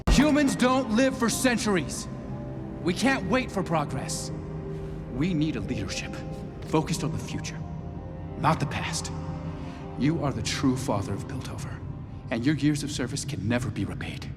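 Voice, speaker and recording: Young male politician-inventor, resolute, earnest, animated series drama, clear background music. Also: a little high, moderate pace, long pauses. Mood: challenging, then solemn. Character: earnest, idealistic young inventor turned city councilor.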